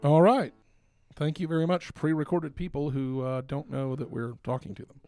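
A man's voice: fairly high pitch, normal speed, mellow, faint music behind. A man hosting a podcast, talking casually as a radio play writer.

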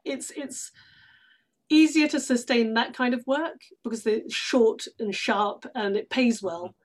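A woman's voice: on the high side, measured pace. A middle-aged woman from London with a British accent, talking effusively and emphatically as an interview guest. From London, England. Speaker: guest, stage actress. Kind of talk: conversational interview.

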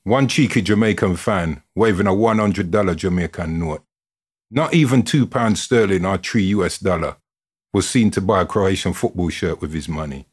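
Male narrator, low and husky, expressive storyteller reading from a script with drama. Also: normal speed. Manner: expressive, dramatic delivery with built-up lists. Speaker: reader, narrator of a written football story.

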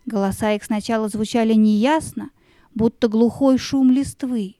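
A woman narrating a children's story in a measured, expressive way, drawing out words for dramatic effect. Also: fairly high pitch, no echo, clean studio sound.

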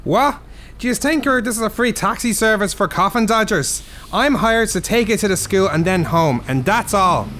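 An actor playing a cheeky younger Dublin bus driver, surly but easily cowed, in a radio drama. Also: male voice, sits high, animated, moderate pace, fairly bright tone, a little hiss or hum. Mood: surly, indignant.

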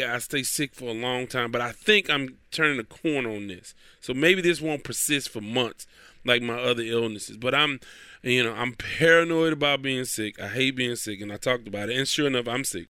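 A man's voice: medium pitch, normal speed, bright, forceful tone, clean tone, no room sound, clean studio sound. A man hosting his own podcast in casual, rambling talk, nasal and stuffy from a cold. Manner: rambling, chatty, repeats himself. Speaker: podcast host.

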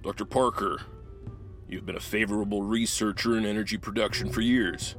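A man acting as a stern military commander briefing a crew, formal and deliberate, in a sci-fi audio drama. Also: on the low side, fairly even intonation, a bit bright, soft background music, lightly acted.

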